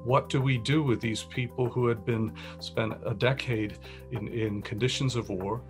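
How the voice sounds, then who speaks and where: A man, a professor, explaining his research in a steady, explanatory way with some restarts. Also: mid-range voice, moderate pace, music in the background. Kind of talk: interview-style talk about research.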